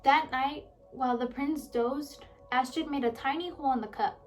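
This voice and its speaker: A woman reading a children's storybook aloud with lively, expressive narration. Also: high voice, normal speed, soft background music. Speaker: audiobook reader.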